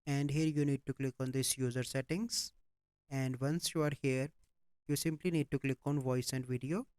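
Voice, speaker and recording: A man hosting a step-by-step software tutorial, speaking in a plain, instructional manner with repetitive phrasing. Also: mid-range voice, smooth, no echo. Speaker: tutorial host.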